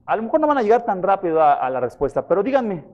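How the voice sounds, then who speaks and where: A pastor preaching a sermon, questioning his congregation and retelling scripture in a lively way. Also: sits high, quick pace, dull audio. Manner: questions the congregation, repeats references for them. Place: church.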